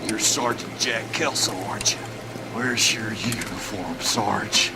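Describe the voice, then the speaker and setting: A man playing a deranged, pious war veteran in a video game, speaking in a quiet, haunted manner. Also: fairly low pitch. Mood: wary, probing. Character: deranged male war veteran on a fanatical holy mission. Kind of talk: dramatic video game voice acting. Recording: loud rushing water noise over voice.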